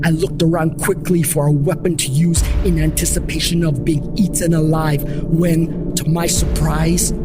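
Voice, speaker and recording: A man reading a scripted first-person story as its storyteller. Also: on the high side.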